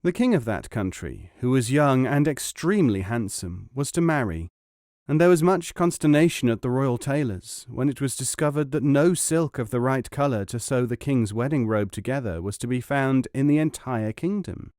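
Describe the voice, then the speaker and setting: A man reading an old folk tale aloud with measured, expressive storytelling. His voice sits in a middle pitch, recorded close and dry with no room around it. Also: no room sound. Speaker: audiobook narrator. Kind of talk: scripted storybook narration.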